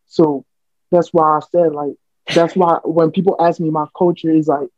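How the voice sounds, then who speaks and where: A man's voice: on the high side, little pitch movement, normal speed, darkish tone, no room sound. Earnest young man, rambling, lo-fi. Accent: American. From United States. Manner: earnest, rambling with many restarts. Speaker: podcast co-host. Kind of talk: casual chat. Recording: dull lo-fi podcast audio, dry.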